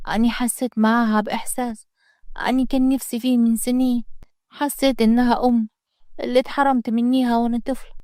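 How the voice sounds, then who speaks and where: A woman's voice: on the high side, little pitch movement, fast talker, dark tone, no room sound, studio quality, pitched above the performer's own voice, close to an everyday voice. Woman doing a pleading young girl. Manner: pleading, earnest.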